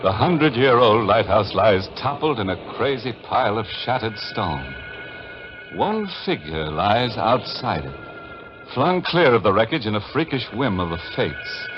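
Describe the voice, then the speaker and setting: A man's voice: fairly low pitch, very animated, measured pace. A man with an American accent playing a ghoulish, sardonic host of a 1940s radio horror mystery, narrating dramatically. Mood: ominous, suspenseful. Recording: muffled 1940s radio broadcast with music.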